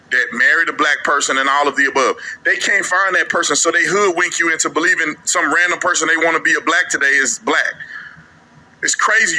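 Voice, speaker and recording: A man co-hosting a commentary show, talking in an animated, mocking way as he reacts to clips. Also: a little high, low-quality recording.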